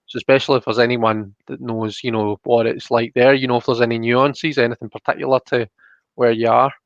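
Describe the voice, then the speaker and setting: A man's voice: medium pitch, level tone, brisk, no room sound, highs cut off. A man hosting a casual livestreamed tarot reading, explaining things in an informal, hesitant, chatty way. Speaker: host.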